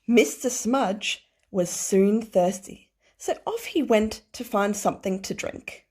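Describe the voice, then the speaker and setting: A woman teacher reading aloud to young children, explaining things simply and deliberately and putting questions to them. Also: mid-range voice, darkish tone. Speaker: early primary school teacher.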